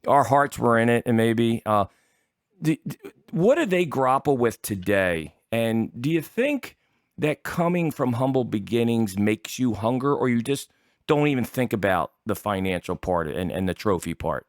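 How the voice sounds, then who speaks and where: A man, middle-aged or older, hosting a casual interview and asking rambling, halting questions. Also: medium pitch, animated, no room sound, studio quality. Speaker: show host.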